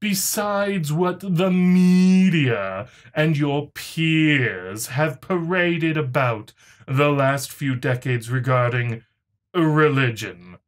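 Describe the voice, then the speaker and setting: Man acting snobby male online zealot, highish pitch, slow haughty delivery, condescending, mock dramatic reading. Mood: preachy, pompous. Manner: pompous, haughty, drawn-out delivery.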